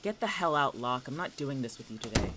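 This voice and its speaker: A woman's voice: extremely low pitch, expressive, a little fast, fairly bright tone, slight background noise. A woman playing a hot-tempered, exasperated young female student in an audio drama, curt and sarcastic. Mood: irritated.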